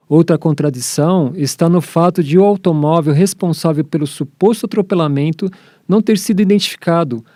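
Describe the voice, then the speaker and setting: A Brazilian man narrates a scripted documentary in a fairly high yet mellow voice. He delivers it as a presenter reading. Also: dry recording, clean studio sound.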